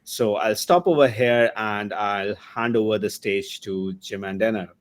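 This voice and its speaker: A man's voice: lowish voice, unhurried. A man hosting a user group, reading a formal introduction of the speakers over a video call.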